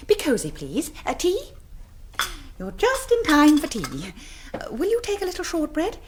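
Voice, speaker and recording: An actress playing a melancholy aristocratic vampire countess in a radio drama, languid and mannered. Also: female voice, high voice, wildly swooping pitch, normal speed, noisy background. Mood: gracious. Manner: languid, mannered, lapsing into breathy near-whispers. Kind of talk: BBC radio drama acting.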